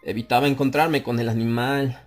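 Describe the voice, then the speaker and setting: Male narrator for a gothic horror audiobook, mid-pitched, brooding and deliberate, building to anguished peaks; light music bed. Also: fairly even intonation. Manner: slow, brooding confessional delivery that rises to anguished outcry. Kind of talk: dramatic audiobook reading of a horror tale.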